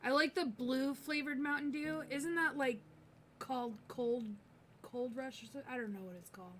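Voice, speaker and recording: A woman hosting a live stream, chatting casually in a relaxed, rambling way. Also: high voice, normal speed, slight background noise. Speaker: streamer host.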